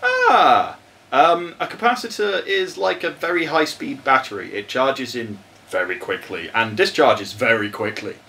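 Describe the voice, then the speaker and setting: Animated man explaining electronics. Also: medium pitch, measured pace, gravelly, slight background noise. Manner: animated, laughs often, explains electronics in plain terms. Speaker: podcast co-host answering tech questions. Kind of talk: casual chat.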